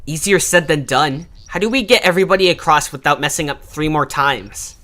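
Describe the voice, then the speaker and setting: A woman's voice: deep voice, brisk, fairly bright tone. A woman playing a bossy, cocky young cartoon creature who acts as leader in an amateur audio drama, brash and taunting. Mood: doubtful.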